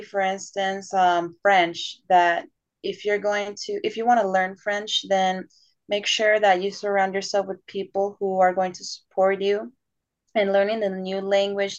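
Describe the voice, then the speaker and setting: Chatty woman on a dull lo-fi line. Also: medium pitch. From born in Panama, raised in the United States. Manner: chatty, laughs often, thoughtful. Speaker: guest, language assistant. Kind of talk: casual podcast conversation. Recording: dull lo-fi sound.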